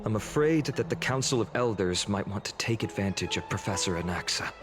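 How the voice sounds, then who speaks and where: An actor playing a gentle, earnest warrior who speaks politely and formally in a video game story. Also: male voice, on the low side, lively intonation, a little fast, music in the background, close to an everyday voice. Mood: earnest, concerned. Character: gentle, earnest male warrior worried for his comrades.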